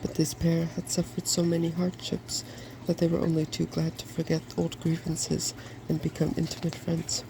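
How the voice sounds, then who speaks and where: A softspoken ASMR host reading a fairy tale from a storybook in a gentle, quiet way. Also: medium pitch, completely flat delivery, moderate pace, few pauses. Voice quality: soft-spoken. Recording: clearly noisy background.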